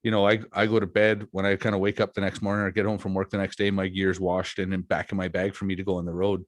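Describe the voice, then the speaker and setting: Forties male host, lower voice, dry close sound, loose rambling humor. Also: completely flat delivery, fast talker, no room sound. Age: 40s. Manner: jokey, self-deprecating, rambling. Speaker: podcast host, wrestler. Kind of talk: casual chat.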